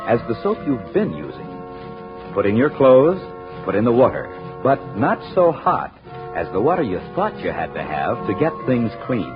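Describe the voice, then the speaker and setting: A man announcing and narrating a 1940s radio show with polished, emphatic, scripted delivery. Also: lowish voice. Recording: muffled old radio, music behind.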